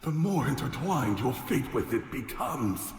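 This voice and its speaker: A man's voice: mid-range voice, big pitch swings, a little slow, creaky. A man voicing a godlike cosmic villain in a video game, speaking with grandiose, theatrical contempt. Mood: menacing. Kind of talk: scripted game voice acting. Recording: long echoing reverb, music behind.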